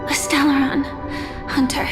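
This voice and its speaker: Very high-voiced, resolute young heroine. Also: female voice, monotone, unhurried. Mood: hesitant, wistful. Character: gentle but resolute young woman warrior, quietly hopeful. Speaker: voice actor in a game cutscene. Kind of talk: scripted dramatic game dialogue. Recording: long reverb under clear music.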